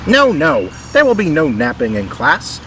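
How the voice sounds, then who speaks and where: A man plays a little female bird who teaches school in a children's storybook. The voice is high and swoops with chirpy, theatrical cheer and a bossy streak. Traffic-like outdoor noise sits clearly behind it. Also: huge pitch swings, unhurried.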